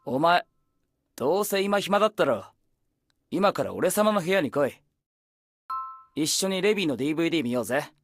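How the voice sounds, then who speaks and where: An actor playing a brash, proud young man, bossy and easily flustered, grumbling in rough casual speech in an anime-style drama. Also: a little high, very animated, rapid-fire, long pauses. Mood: cocky, flustered.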